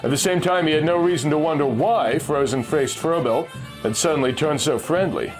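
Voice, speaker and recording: A man's voice: a little high, moderate pace, low-quality recording. A man narrating a pulp adventure story from a script, as a storyteller reading aloud. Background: music.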